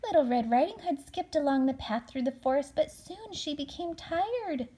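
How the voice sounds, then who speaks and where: A woman reading a storybook to a child as a mother, dramatic and expressive, dropping into hushed tones for suspense. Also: high voice, moderate pace.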